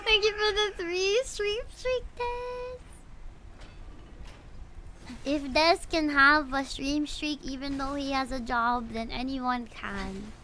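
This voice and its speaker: A woman streams a game in a very high voice, talking at a slow, easy pace. She reacts expressively and playfully as she chats with viewers. There is faint noise behind her. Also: steady tone, bright, forceful tone. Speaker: livestreamer playing a story game. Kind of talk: casual livestream chat mixed with reading game dialogue aloud.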